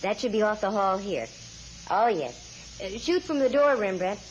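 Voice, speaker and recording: A bossy, wisecracking American woman private eye, played by a radio actress in a vintage 1940s drama. She banters briskly at a medium pitch, on a muffled recording with faint hiss. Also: lively intonation, lightly acted. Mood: improvising, hesitant.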